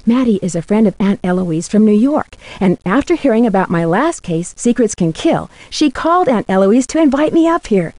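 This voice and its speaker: A woman playing a curious, polite young female detective in a video game, with earnest, clearly enunciated scripted delivery. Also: medium pitch, dark tone, dry recording, close to an everyday voice. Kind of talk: scripted game voice lines and letter narration.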